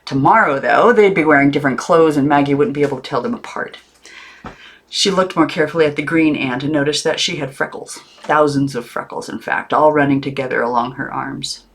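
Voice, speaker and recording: A woman narrating a storybook in an informal, expressive read, lingering deliberately on some words. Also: deep voice. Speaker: audiobook reader.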